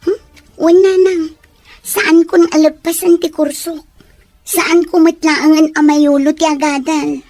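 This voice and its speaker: A woman's voice: high voice, flat delivery, a little slow, a little mellow, no echo, soft background music. A young woman voicing a stubborn student daughter in an audio drama, talking in an insistent, pleading way. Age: young adult. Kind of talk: Ilocano radio-style audio drama.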